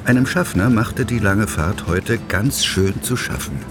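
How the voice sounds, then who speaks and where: A man narrating a scripted children's audio play as an expressive storyteller. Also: on the low side, a little slow. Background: music, vehicle sounds.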